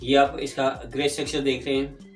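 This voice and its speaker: A middle-aged man with a Pakistani Urdu accent, pitching a property project to camera in an explanatory way, filling pauses with "uh". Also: completely flat delivery, roomy sound, distant mic, soft background music. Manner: explanatory, frequent "uh" fillers. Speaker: real estate agent. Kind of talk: property promotion talk to camera. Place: indoor room before green screen. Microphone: clip-on lapel mic.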